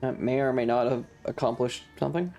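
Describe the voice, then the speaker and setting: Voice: medium pitch, a little fast, warm tone, dull audio, faint music behind. A streamer giving casual live commentary on a video game in an irreverent, sweary, rambling way.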